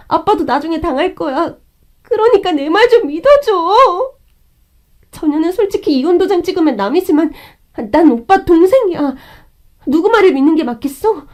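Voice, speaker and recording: Young manipulative sister-in-law, whiny and pleading, very high voice, played by a woman.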